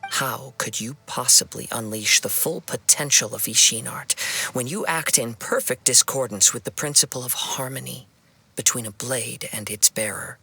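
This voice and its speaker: A man voicing a calm, principled young wandering swordsman in a video game, with measured, earnest, formal delivery. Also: mid-range voice, gravelly. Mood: stern, reproachful. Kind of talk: dramatic video game dialogue. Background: music.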